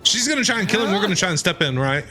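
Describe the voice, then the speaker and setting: A man giving casual, animated, exclamatory reactions as he streams a video game. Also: sits high, rapid-fire. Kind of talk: excited gameplay reaction commentary. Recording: game music behind voice.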